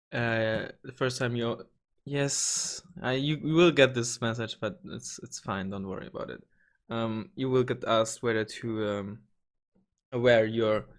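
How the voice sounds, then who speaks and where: A slightly hoarse young man hosting a casual gaming tutorial, rambling with many ums and restarts and the odd swear word. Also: mid-range voice, a bit bright. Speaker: gaming channel host. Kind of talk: casual screen-recorded tutorial.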